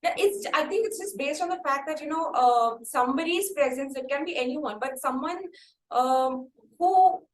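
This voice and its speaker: Female interview host, Indian accent, higher voice, wordy and halting, recorded in an echoey room. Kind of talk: conversational music interview. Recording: echoey room, faint background noise.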